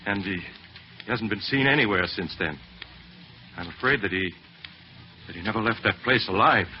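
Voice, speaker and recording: A man's voice: on the low side, moderate pace, natural voice. An actor playing a missing man's anxious friend, giving an earnest account to a detective in an old radio drama. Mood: fearful. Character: anxious male friend of a missing man, a client. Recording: muffled, noisy vintage radio broadcast.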